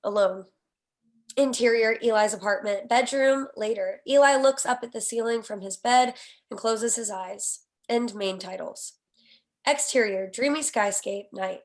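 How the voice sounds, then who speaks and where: A woman with a fairly high voice reads screenplay stage directions. It is scripted narration for a table read, setting out scenes and action. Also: measured pace.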